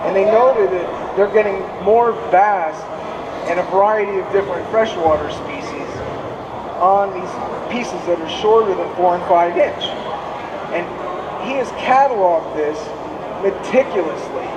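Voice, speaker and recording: A hoarse man gives a rambling, explanatory seminar talk, his voice pitched high for a man. He sounds distant from the microphone in a roomy, echoing hall, with a steady air-conditioning hum behind him. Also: measured pace. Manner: explanatory, digressive, with restarts. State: hoarse from speaking. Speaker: fishing seminar presenter. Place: expo seminar hall, indoors.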